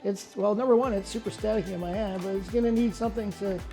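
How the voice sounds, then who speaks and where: A woman's voice: mid-range voice, steady tone, fast talker, music in the background. A woman hosting an unscripted craft demonstration in a jokey, playful way, repeating phrases. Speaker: craft segment host.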